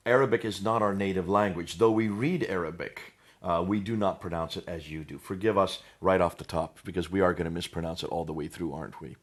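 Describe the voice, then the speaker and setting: A man's voice: sits low, brisk, few pauses. An American man hosting a conversational discussion, leading his guest with rhetorical tag questions. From United States.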